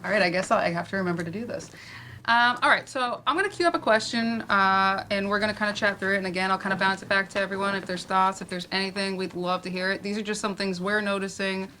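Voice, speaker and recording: Woman hosting a panel discussion, relaxed informal talk, medium pitch. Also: fast talker, a little hiss or hum. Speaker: panel moderator and playwright. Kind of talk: casual, informal event introduction. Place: public library event space.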